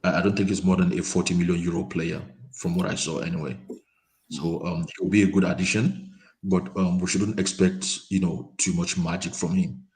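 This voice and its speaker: A man giving his views in a casual football chat over a call. He hesitates often, repeating words and filling pauses with um. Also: low-pitched, flat delivery, a little mellow, low-quality recording. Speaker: panel guest. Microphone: remote call mic, quiet.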